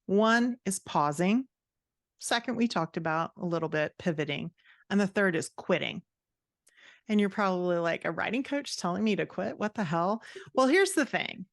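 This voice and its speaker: A woman's voice: lowish voice, no room sound. Blunt, emphatic woman giving advice, dry close sound. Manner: emphatic, blunt, acts out quotes. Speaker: writing coach and author, podcast guest. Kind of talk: conversational interview, giving advice.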